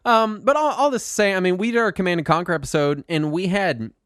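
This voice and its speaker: A man's voice: high-pitched, fast talker, a bit bright, no room sound, studio quality. A man hosting a casual podcast, a bit congested from a cold, talking in a rambling way with lots of ums and restarts. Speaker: podcast host.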